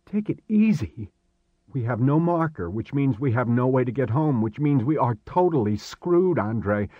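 A man's voice: mid-range voice, unhurried, no echo, phone-quality sound, natural voice. A man voicing a panicked, sarcastic young man in an audiobook, agitated and insistent, stressing his words hard. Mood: panicked, angry. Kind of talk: audiobook character dialogue.

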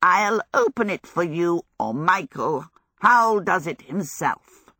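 A woman's voice: on the low side, animated, takes it slowly, pushed and bright, no room sound, heavily acted. Here is a small fire demon from a storybook, male, proud and a little needy as a woman narrator plays him. The timbre is set apart from plain narration and the words are pressed out with emphasis. The sound is close and dry. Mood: helpful.

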